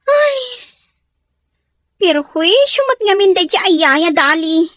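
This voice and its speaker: Casting: actress as troubled teen daughter, Ilocano Filipino accent, extremely high voice, defiant and evasive, audio soap drama. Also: female voice, sing-song, slow, fairly bright tone, no room sound, studio quality, exaggerated voice. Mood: upset.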